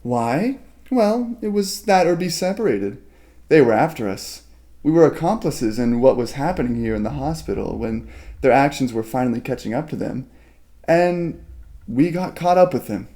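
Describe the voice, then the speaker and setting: Man playing a mellow, swooping, playful ghost twin. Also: medium pitch, expressive, close to an everyday voice. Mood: wistful. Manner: teasing, theatrical, drawn-out phrasing. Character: playful, sinister young ghost twin, romantic, yandere. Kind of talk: ASMR roleplay drama.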